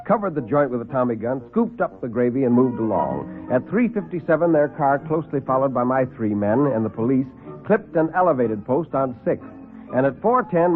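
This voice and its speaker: A man's voice: medium pitch, natural voice. An actor playing a brash, slangy newspaperman who narrates with punchy tough-guy delivery in a classic radio drama. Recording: muffled vintage radio recording with music.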